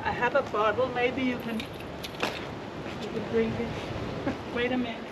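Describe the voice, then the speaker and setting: A woman with a Danish accent chatting casually outdoors, warm and helpful, laughing often. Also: a little high, level tone, a little slow. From Denmark. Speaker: local resident met on the road. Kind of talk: casual chat. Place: outdoors by a small seaside town road. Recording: muffled outdoor audio with traffic noise.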